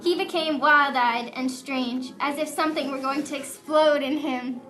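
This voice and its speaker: High-voiced woman narrating a puppet show. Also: steady tone, moderate pace, music in the background, poor audio. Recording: live show with crowd noise.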